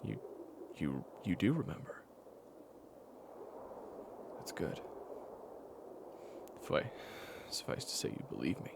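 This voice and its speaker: A man playing a weary, patient caretaker in an intimate audio roleplay, speaking in a hushed, hesitant way. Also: huge pitch swings, takes it slowly, pauses often, noisy background, exaggerated voice. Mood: patient, reassuring. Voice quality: very low gravelly voice. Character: weary, patient man caring for an amnesiac companion, retelling their past.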